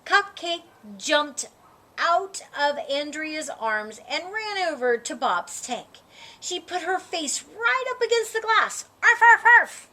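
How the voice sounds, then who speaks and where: A woman storyteller with a high, bright voice reads a children's book aloud. She takes her time and gives phrases expressive, dramatic emphasis, narrating as the story's young character. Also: takes it slowly.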